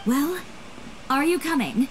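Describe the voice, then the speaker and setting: A woman's voice: high voice, lively intonation, slow. A woman playing a proud, fiery young fashion designer in an anime game, curt and self-assured. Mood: cool, resolute. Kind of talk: anime video game dialogue. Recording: game music behind.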